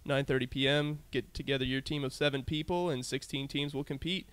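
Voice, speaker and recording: A man's voice: mid-range voice, fairly even intonation, a little fast, fairly bright tone. A man hosting a casual podcast interview, reading event listings with fillers and frequent laughs. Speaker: podcast host.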